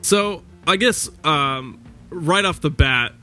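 A man hosts a casual podcast conversation, his voice bright and forward. He talks loosely, with lots of fillers. Soft music sits faintly behind him. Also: a little high, lively intonation, slow. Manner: easygoing, loose, many fillers. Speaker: podcast host. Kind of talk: casual interview chat. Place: lives in the US Pacific Northwest.

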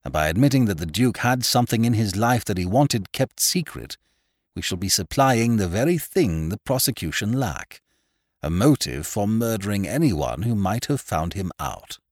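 A man reading a storybook as a cool senior male barrister, formal, measured and probing. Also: fairly low pitch, sing-song, dry recording, studio quality, voice pushed up.

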